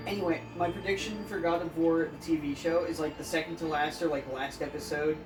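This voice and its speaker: A woman co-hosting a podcast in casual chat, haltingly searching for her words. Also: low-pitched, fairly even intonation. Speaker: podcast co-host. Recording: roomy echo, distant mic, music behind. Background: music.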